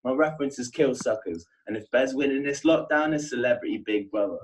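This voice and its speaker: A man's voice: medium pitch, brisk, recorded from a distance, rough recording. Battle-rapping man with a southern English accent. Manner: aggressive, insult-heavy rhymed delivery. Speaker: battle rapper. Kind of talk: battle rap verses.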